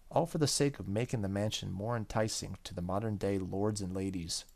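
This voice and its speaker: A man with a fairly low voice hosts a let's play of a story game. He reads narration aloud and drops in casual, joking commentary as he goes. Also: a little mellow. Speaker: gaming video host.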